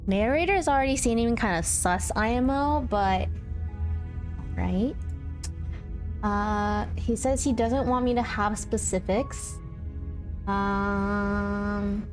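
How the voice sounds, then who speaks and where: A woman livestreamer with a fairly high voice plays through a game. She is casual, playful and slangy, reading options aloud and musing as she goes. Faint game music sits behind her. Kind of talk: casual gaming stream chat, reading choices aloud.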